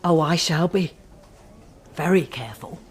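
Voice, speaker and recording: A woman's voice: deep voice, slight background noise. A woman playing a scheming, politely insinuating middle-aged mother-in-law in a radio drama. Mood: prim, purposeful. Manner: genteel, deliberate, insinuating. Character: scheming older mother-in-law, outwardly polite, quietly sly.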